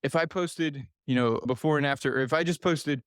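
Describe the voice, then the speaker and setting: A man's voice: fairly high pitch, brisk, smooth, no echo. A man in his thirties with a slightly raised voice, coaching listeners through nutrition advice on his own podcast. He talks earnestly and repeats his points for emphasis. The sound is close and dry, with no room around it. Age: 30s. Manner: earnest, repetitive, speaks directly to listener. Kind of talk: solo podcast advice talk.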